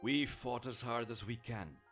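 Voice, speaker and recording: A man's voice: medium pitch, normal speed, a bit bright, faint music behind, lightly acted. A man voicing a resolute, saintly rebel leader rallying doomed warriors with impassioned oratory in a fantasy audio drama. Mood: grave resolve.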